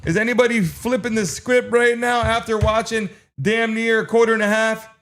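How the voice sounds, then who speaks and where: Man, high voice, livestream sports host, informal hype, addressing chat. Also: fairly even intonation, normal speed, fairly bright tone. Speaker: live stream sports show host. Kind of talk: livestream chatter and game reaction to viewers.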